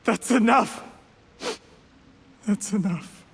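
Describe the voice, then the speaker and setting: A man's voice: sits high, very slow, creaky, in a large hall, slight background noise, heavily acted. An actor playing an ambitious, scheming young man in a video game, earnest and strained as he justifies himself. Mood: anguished.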